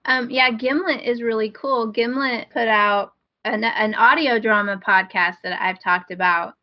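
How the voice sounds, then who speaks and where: A woman's voice: a little high, fairly even intonation, a little slow, no echo, highs cut off. A woman co-hosting a podcast in casual, informal, self-deprecating chat. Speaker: podcast co-host.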